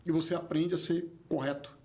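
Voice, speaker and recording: A Brazilian man from the São Paulo state, around his forties, talking as a podcast guest in an animated, emphatic way and repeating his points for stress. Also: a little high, brisk, creaky. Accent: São Paulo state Portuguese. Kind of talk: casual interview talk.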